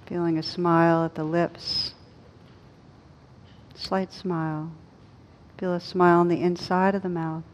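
Low, even-toned woman guiding meditation. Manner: gentle, soothing, unhurried instructions with long pauses. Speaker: meditation teacher. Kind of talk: slow guided meditation. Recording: dull lo-fi recording with rumbling background noise.

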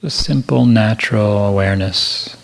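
A man leading a guided meditation as a teacher, speaking in a gentle, measured manner with long pauses. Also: fairly low pitch, creaky.

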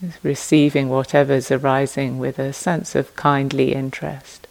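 A woman meditation teacher giving guided instructions in a gentle, unhurried manner, leaving long pauses between phrases. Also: very deep voice, level tone, creaky, rough recording.

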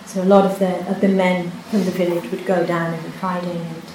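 Brief: Hebrew-speaking woman, meditation teacher, halting, reflective delivery; boomy retreat-hall recording. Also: level tone. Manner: soft-spoken, unhurried, with many pauses and restarts. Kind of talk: dharma talk with personal story. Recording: echoey hall, faint hiss.

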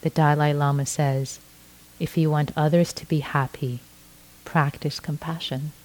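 A middle-aged woman from Iran, a native Farsi speaker, giving a gentle dharma talk as a meditation teacher, often restarting her phrases. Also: low-pitched, level tone, rough recording. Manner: slow, gentle, with frequent restarts.

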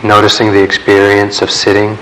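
A man leading a guided meditation in a calm, measured way, leaving long pauses between short phrases. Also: fairly low pitch, poor audio. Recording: steady background hiss.

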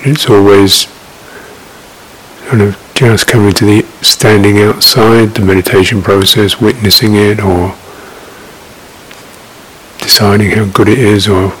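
Slow, low-voiced man guiding meditation. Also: husky, poor audio. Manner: halting, reflective, with long pauses. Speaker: meditation teacher. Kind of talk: unscripted guided meditation. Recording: steady background hiss.

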